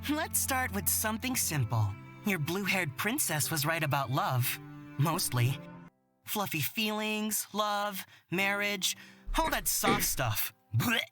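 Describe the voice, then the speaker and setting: A woman's voice: mid-range voice, expressive, takes it slowly, fairly bright tone, faint music behind. A woman voicing a teasing, worldly, cocky young man in a fantasy romance game, drawling and suggestive. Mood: mocking, smug.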